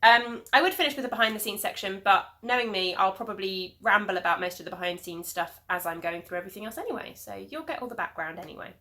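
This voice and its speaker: Bright-voiced chatty woman. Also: medium pitch, lively intonation, fast talker, few pauses. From England. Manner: rambling, chatty, many ums. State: sniffly from hay fever. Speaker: knitting podcast host. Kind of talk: casual video podcast chat. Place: home.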